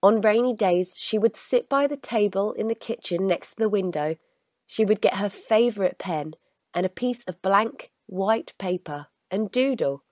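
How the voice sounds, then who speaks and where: A woman reading a children's story aloud in an expressive, deliberate storytelling manner. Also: mid-range voice, dry recording, studio quality. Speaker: storybook reader.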